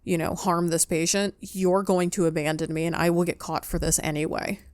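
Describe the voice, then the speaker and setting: A woman's voice: lowish voice, little pitch movement, brisk, darkish tone, no room sound, clean studio sound. A woman playing a visual novel and reading its lines aloud dramatically, as in a playthrough. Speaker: game player reading aloud.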